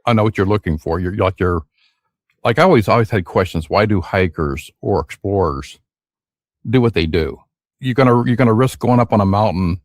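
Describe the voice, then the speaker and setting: A man's voice: lowish voice, fairly quick, no echo, clean studio sound. A man hosting a podcast in a casual, upbeat and chatty way, often using fillers. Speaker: podcast host. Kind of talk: casual interview chat.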